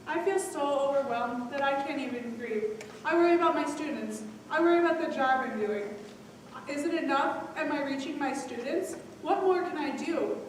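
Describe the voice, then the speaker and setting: A woman's voice: sits high, normal speed, close to an everyday voice. A woman playing an overwhelmed, anxious teacher in an earnest, self-questioning stage monologue. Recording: very echoey hall, distant mic, faint noise.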